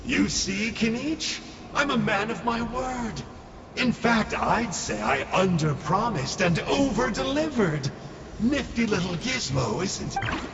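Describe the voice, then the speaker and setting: Sly teasing scholar type, gravelly and bouncy, echoey muffled game audio with music. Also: male voice, sits high, expressive, slow pace, a loud crowd, heavily acted. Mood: smug. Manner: smug, teasing, theatrical. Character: smug, slippery male scholar, playful and sly. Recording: dull game audio with long reverb and music.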